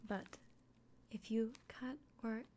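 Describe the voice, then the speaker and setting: A woman playing a friendly fantasy innkeeper in a soft, breathy, often whispered ASMR roleplay, speaking gently and without hurry. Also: fairly high pitch, steady tone, background noise. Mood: careful, earnest. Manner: gentle, unhurried, caring. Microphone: close microphone.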